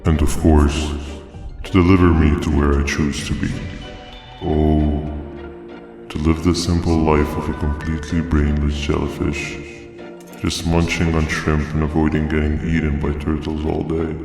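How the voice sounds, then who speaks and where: A man playing a giant jellyfish monster in a video game, calm, grandiose and coolly menacing, speaking in wordy, deliberate monologues. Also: very deep voice. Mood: musing. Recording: echoey long reverb, music behind.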